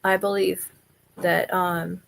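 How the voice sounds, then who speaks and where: A woman's voice: on the low side, flat delivery, takes it slowly, gravelly, a bit bright, a little hiss or hum. A middle-aged woman guest on a podcast, speaking candidly and earnestly about faith, diet and illness. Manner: candid and earnest, laughs easily. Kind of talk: conversational interview.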